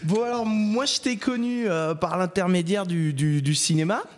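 A man's voice: high voice, normal speed, long reverb tail. A man hosting a podcast, chatting casually and hesitantly with many fillers. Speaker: podcast host, interviewer. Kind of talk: casual interview chat.

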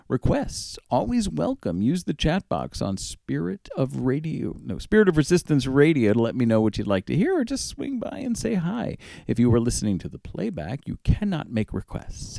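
An enthusiastic male radio host talks casually to his listeners. His pitch moves around in a lively way and his voice has a gravelly fry. The sound is close and dry, as from a studio mic. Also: no echo. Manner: chatty, enthusiastic. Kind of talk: casual radio show introduction.

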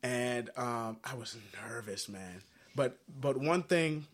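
A man's voice: medium pitch, animated, slow. Earnest guy from haiti chatting about his music, lots of ums and restarts. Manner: earnest, reflective, many fillers and restarts. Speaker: musician guest. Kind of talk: casual interview chat.

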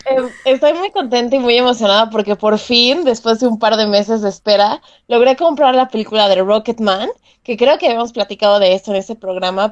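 Girl on a podcast telling a casual story, medium pitch, bit lo-fi sound. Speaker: podcast panelist. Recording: slightly dull, lo-fi audio.

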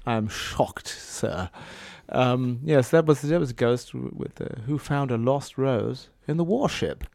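A man presents songs on a radio show in a loose, chatty way, cracking deadpan jokes and pausing on fillers. His voice sits in an ordinary middle pitch. The sound is close and dry, with no room around it. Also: gravelly, no echo. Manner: dry joking asides, hesitant fillers. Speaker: radio host. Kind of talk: casual radio chat between songs.

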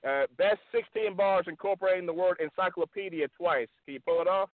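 Brief: excitable male call-in host, quick, stumbles over his words, tinny dry phone-like sound. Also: high-pitched, a little hiss or hum. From Norfolk, Virginia. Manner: repeats words, excitable, laughs often. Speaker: radio show host. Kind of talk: casual radio chat.

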